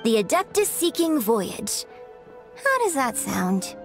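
High-voiced, graceful young opera singer for a game, poised and teasing. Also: female voice, big pitch swings, takes it slowly, vocal fry, full character voice. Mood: fond. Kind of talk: game voice acting. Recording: game audio with faint music behind.

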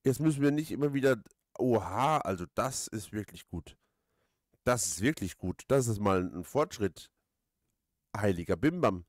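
Low-voiced man rambling casually, dry close sound. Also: expressive, moderate pace, no room sound. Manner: rambling, hesitant, thinking aloud. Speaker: let's player, host. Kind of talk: casual let's play commentary.

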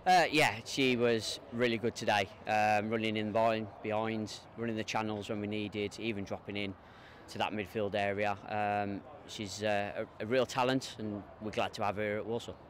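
A middle-aged man, a football coach, giving measured, hesitant answers in a post-match interview outdoors. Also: fairly low pitch, steady tone. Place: open football stadium pitchside, outdoors. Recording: outdoor noise behind.

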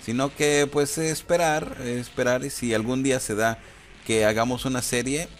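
A man's voice: mid-range voice, steady tone, moderate pace. A man chatting informally and hesitantly as a YouTuber, using slang and repeating himself. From Guatemala. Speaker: YouTuber answering viewer questions. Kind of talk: casual chat over gameplay footage. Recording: clear background noise.

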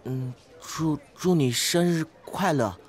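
A man's voice: a little high, slow. Radio drama role: mild, bookish young male student, man acting, earnest delivery, light music underneath. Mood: shy, earnest. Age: young adult.

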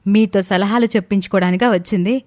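A woman's voice: medium pitch, steady tone, fast talker, mellow, dry recording, studio quality, lightly acted. A woman playing a jealous, scheming young wife in a family story, complaining and sarcastic. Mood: bitter, sulky. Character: jealous, bitter young married woman, scheming sister-in-law.

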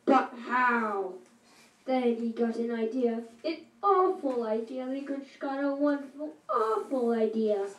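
A woman reading a rhyming story aloud at a school shadow puppet show, slow and rhythmic. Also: fairly high pitch. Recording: echoey room from far off.

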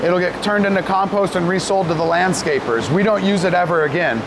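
An American man in his forties explaining things step by step in a plain-spoken way as he leads a facility tour. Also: a little fast. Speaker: company president giving a facility tour. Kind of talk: explanatory guided tour, unscripted talk. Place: large indoor grow room with fans running. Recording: loud rumbling fan and machinery noise.

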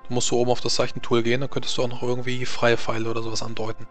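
Calm guy game-mastering, explaining the tools, soft music in the back. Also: medium pitch, racing. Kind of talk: running a tabletop game.

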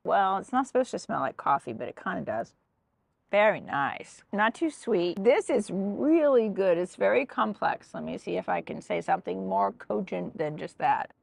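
Gravelly-voiced woman tasting beers outdoors. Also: mid-range voice, expressive, moderate pace, dry recording. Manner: reads tasting notes, chatty and descriptive. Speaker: co-host. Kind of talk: casual beer tasting chat. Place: outdoor brewery patio.

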